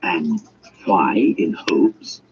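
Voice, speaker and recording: A man's voice: sits low, expressive, drawn-out words, darkish tone, voice pushed down, exaggerated voice. A man voicing a grand, impatient, lovelorn king in a ponderous, dramatic storybook reading. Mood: imperious, wistful. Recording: dull audio with faint hiss.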